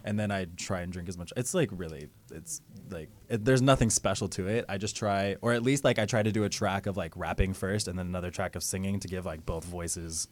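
Easygoing low-voiced male singer. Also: fairly quick, hardly any pauses. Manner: relaxed, filler-heavy, easygoing. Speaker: guest musician. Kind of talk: casual chat.

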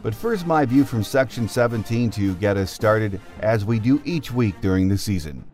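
A man's voice: on the low side, expressive, moderate pace, darkish tone, faint music behind. A man hosting a sports podcast, delivering a prepared opinion monologue as a devoted fan. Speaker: podcast host.

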